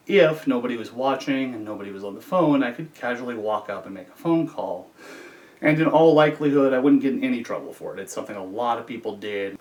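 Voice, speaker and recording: Distant-miked man telling a personal story. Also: mid-range voice. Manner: conversational, acts out dialogue. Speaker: storyteller, former prisoner.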